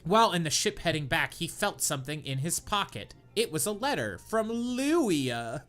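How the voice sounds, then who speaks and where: A man co-hosting a comedy podcast, reading bad fanfiction aloud in a deadpan voice that keeps cracking into laughter. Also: on the high side, normal speed, soft background music. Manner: reads deadpan, breaks into laughter.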